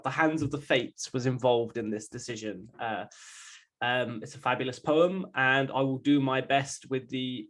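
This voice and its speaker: Male poetry reader, unhurried and measured, mid-range pitch. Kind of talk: measured poetry recitation with brief introduction.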